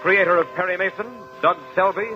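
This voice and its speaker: A man's voice: sits high, little pitch movement, a little fast. A man with an American accent making portentous, scripted announcements in the dramatic style of 1950s radio. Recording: dull lo-fi old radio recording. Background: loud music.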